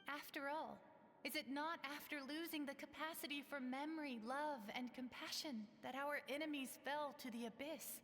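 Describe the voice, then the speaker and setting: A woman playing a solemn, inspiring mentor who addresses her allies in a measured, formal way in a video game. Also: high voice, fairly even intonation, a bit bright. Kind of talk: video game character acting, speech. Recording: long reverberant hall, background music.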